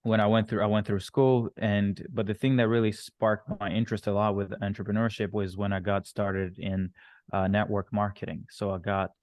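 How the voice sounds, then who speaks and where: A man's voice: lowish voice, level tone, normal speed, a little mellow, dry recording, studio quality. A man from Rwanda who moved to Canada as a teen, telling his own story casually as an interview guest and often rephrasing as he goes. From born in Rwanda, moved to Canada at thirteen.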